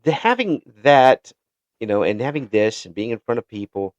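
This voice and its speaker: A male interview host who stumbles through his questions, restarting and doubling up his words as he goes. His pitch rises and falls in a lively way. The sound is dull and lo-fi but dry, with no room echo. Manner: halting, restarts and repeats words often. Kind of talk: casual interview chat. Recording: dull lo-fi recording.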